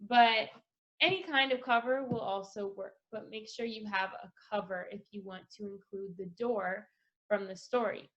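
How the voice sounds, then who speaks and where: A woman presenting a casual step-by-step craft tutorial for children, speaking in an instructional way with pauses between phrases. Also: medium pitch, fairly bright tone, lo-fi sound. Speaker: craft presenter for a children's read-aloud project.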